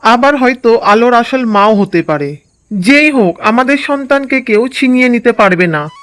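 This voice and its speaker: একজন পুরুষ কণ্ঠে কোমল গ্রাম্য স্বামী. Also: male voice, very high-pitched, animated, normal speed, fairly bright tone, smooth. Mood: tender. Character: Raj, gentle village husband and father. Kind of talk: cartoon dub acting.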